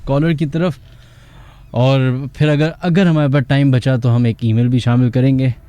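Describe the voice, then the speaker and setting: A man's voice: medium pitch, steady tone, normal speed, dark tone, clear, resonant tone, dry recording. A man, hosting a late-night horror call-in show on the radio.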